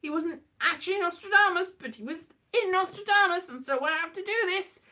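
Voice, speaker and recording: A woman's voice: very high-pitched, brisk, pushed and bright. A British woman chatting casually in a whimsical, digressive monologue, often turning playfully dramatic. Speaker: performer and vlogger.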